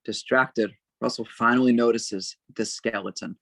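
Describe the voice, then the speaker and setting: Guy reading out stage directions for a table read, measured n dramatic, dry sound no echo. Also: mid-range voice, fairly even intonation, gravelly, a bit bright. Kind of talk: scripted narration at a table read.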